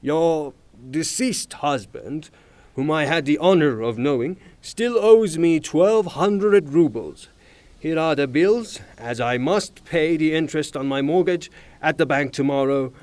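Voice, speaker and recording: An actor playing a blustering, irascible retired officer and landowner in a theatrical audio drama, with formal manners and emphatic, exasperated delivery. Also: male voice, a little high, lively intonation, measured pace, darkish tone, dry recording. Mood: formal, pressing. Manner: emphatic, formal address breaking into exasperated outbursts.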